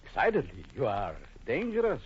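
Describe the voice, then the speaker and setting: Sinister, husky jealous doctor, old radio. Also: male voice, mid-range voice, wildly swooping pitch, fairly quick, exaggerated voice. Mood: coldly menacing. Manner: menacing, mock-polite, theatrical. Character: vengeful jealous husband, coldly sinister doctor with mock courtesy. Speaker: actor. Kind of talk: vintage radio horror acting. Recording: muffled vintage radio broadcast.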